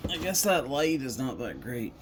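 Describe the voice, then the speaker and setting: A middle-aged man talking casually and unscripted in a home vlog, halting and rambling as he thinks aloud. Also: mid-range voice, big pitch swings, noisy background. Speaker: hobbyist electronics recycler and video host. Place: indoors at a home coffee table.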